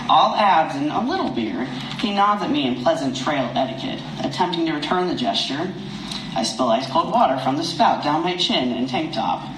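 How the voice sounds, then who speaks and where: A man's voice: medium pitch, recorded from a distance. A man who writes, reading his personal essay aloud from a stage at a live event. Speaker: writer reading own work to an audience. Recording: echoey, noisy live room, off the mic.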